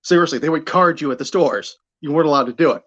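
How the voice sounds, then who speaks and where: A man's voice: fairly high pitch, quick pace, a bit bright. Dull-sounding recording of a candid American man. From Buffalo, New York area, United States. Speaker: sports radio play-by-play broadcaster, interview guest. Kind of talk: conversational career storytelling.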